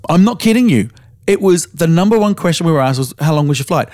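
Slightly hoarse Australian man. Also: fairly high pitch, lively intonation, quick pace, a little mellow, no echo, studio quality. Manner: frank, storytelling, casual swearing. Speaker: podcast co-host. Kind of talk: casual podcast chat.

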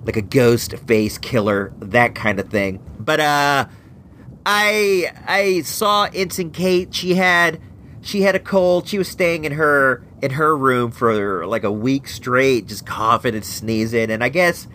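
A man's voice: mid-range voice, slow pace, bright, forceful tone, slight background noise. A man with a stuffed-up nose from a cold, hosting a show in a rambling, casual monologue full of restarts. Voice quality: nasal, congested. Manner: rambling, with many restarts and filler words. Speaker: podcast host.

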